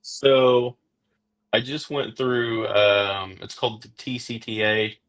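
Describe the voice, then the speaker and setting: A man's voice: medium pitch, fairly even intonation, takes it slowly, fairly bright tone, poor audio. A man with an Oklahoma accent hosting a podcast, chatting casually and rambling with many fillers and restarts. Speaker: podcast host. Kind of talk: casual interview chat.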